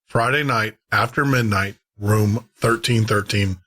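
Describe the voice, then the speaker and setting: A man's voice: on the low side, measured pace, sharp tone. A man hosting a show, chatting to listeners in an informal, rambling way. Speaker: podcast host.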